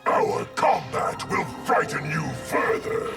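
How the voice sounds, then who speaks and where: A menacing hive of souls in a fighting game, grinding out solemn words at a very slow crawl. The gravelly voice pitches unusually high and swings widely, set back from the mic under loud music. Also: male voice, lively intonation, distant mic. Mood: cold, menacing. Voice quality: strained, gravelly. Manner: solemn, formal, speaks as "we". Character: menacing collective of many souls in one warrior. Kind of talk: fighting game character dialogue.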